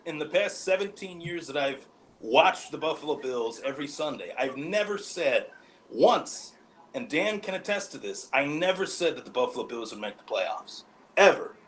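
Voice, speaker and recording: A man's voice: a little high, animated, moderate pace, fairly bright tone. A man talking as a guest on a casual sports podcast, cocky and playful, with faint noise behind him.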